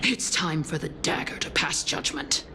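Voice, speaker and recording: An actress playing a scheming, villainous noblewoman in a video game, taunting and theatrical, with drawn-out phrasing. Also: low-pitched, background noise. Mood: venomous, menacing. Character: scheming, mocking villainous noblewoman, gloating and venomous. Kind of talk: dramatic video game voice acting.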